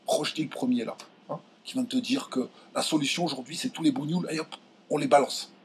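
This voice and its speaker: A young man from France ranting straight to his viewers, indignant and heated, stumbling over his words and pushing his voice up high when he gets angry. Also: brisk, a little hiss or hum. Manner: indignant, voice jumps high when outraged, many restarts. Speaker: video commentator. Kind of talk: heated direct-to-camera rant.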